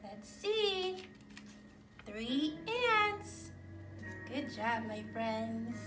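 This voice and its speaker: A high-voiced woman hosts an activity for young children, heard from a little way off in an echoing room. She speaks in simple, encouraging phrases with a very lively, swooping melody. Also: drawn-out words. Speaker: children's activity presenter and read-aloud host. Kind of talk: instructional talk and read-aloud for young children. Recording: roomy, distant mic, music behind.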